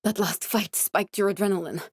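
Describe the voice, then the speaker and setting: A actress playing a woman scientist in a video game, guarded and earnest. Her delivery is measured and clinical, with a dry edge. Her medium-pitched voice sits in a very dry, close recording with no room sound. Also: steady tone, slightly rough, no echo, lightly acted. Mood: focused, serious. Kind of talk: video game character voice acting.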